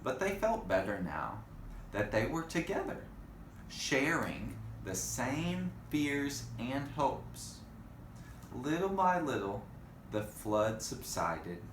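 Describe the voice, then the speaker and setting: Man, children's storybook reader, slow, expressive lively intonation, chatty asides, roomy echo. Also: mid-range voice. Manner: expressive, addressing young listeners. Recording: echoey room, faint background noise.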